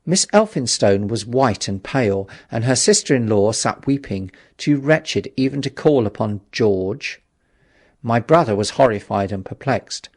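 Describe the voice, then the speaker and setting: A male audiobook narrator with a mid-pitched voice reads a classic novel. His delivery is scripted, literary and measured, with a dramatic storytelling feel. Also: expressive, a little mellow.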